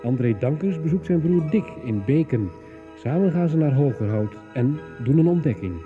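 A man's voice: a little high, animated, normal speed. Thin-sounding male narrator over music. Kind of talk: scripted radio serial recap narration. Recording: narrow, phone-like band, music behind.

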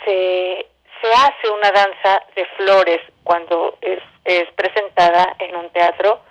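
A woman answering as an interview guest, halting as she searches for words, over a remote call. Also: medium pitch. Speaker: interview guest, theatre director. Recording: dull lo-fi radio call line.

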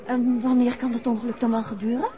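An actress playing a detective's curious, worried wife in a 1960s radio drama. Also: female voice, a little high, flat delivery, fast, lightly acted. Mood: curious, uneasy. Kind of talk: 1960s radio play acting. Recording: dull, noisy old radio recording.

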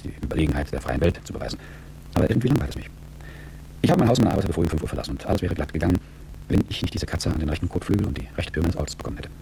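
Very deep, gravelly, world-weary manager. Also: male voice, mellow, slight background noise, full character voice, poor audio. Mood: tense, calculating. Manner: dry, sardonic, world-weary. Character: bored, cynical, wealthy middle-aged business manager. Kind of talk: German radio drama monologue.

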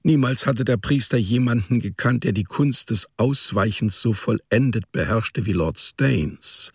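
Gravelly male radio drama narrator. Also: medium pitch, a little slow, no echo, clean studio sound.

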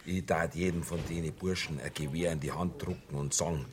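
An actor playing an indignant, opinionated Bavarian pub regular in a radio crime play, ranting bluntly in rustic dialect. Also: male voice, very deep voice, fairly even intonation, brisk, a little hiss or hum. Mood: grumbling.

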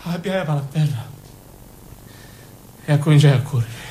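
A man's voice: fairly high pitch, lively intonation, moderate pace, mellow, slight background noise, lightly acted. A man acting a naive, passionate young Sicilian man in an intense, confessional stage monologue with a Sicilian accent. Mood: wistful recollection. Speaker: stage actor. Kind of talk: theatrical monologue in dialect.

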